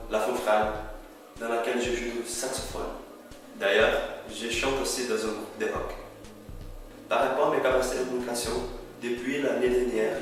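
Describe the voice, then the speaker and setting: A young man, by birth, presents himself in a steady, measured way. His voice sits at an ordinary pitch for a man and bounces around a very echoey room, picked up from some distance. Faint music plays behind him. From Brazil. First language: Portuguese. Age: 20s. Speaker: student. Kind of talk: spoken video CV.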